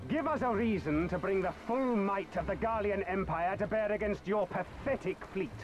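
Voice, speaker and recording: An actor playing a haughty Imperial military officer in a video game, pompous and full of scorn. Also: male voice, high-pitched, moderate pace, slight background noise, lightly acted. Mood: contemptuous threat.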